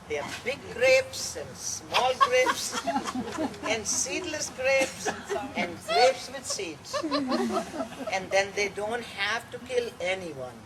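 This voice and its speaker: A woman from Pakistan with a Pakistani accent, performing a satirical monologue in a deliberate, dramatic way. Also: on the high side. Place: live venue, cafe. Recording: distant mic, clear background noise.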